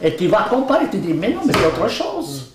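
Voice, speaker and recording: A man's voice: high-pitched, huge pitch swings, a little fast, noisy background. An older man whose first language is Arabic, chatting in an interview, joking, laughing often and hesitating with many fillers. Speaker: Quran translator being interviewed. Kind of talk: casual interview conversation. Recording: roomy, mic at a distance.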